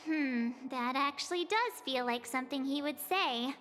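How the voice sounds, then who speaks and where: Very high-voiced perky teenage girl. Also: moderate pace, a little hiss or hum. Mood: puzzled. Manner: chatty, expressive, informal. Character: perky, easily flustered teenage girl adventurer. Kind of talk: acted video game dialogue. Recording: reverberant, with music behind.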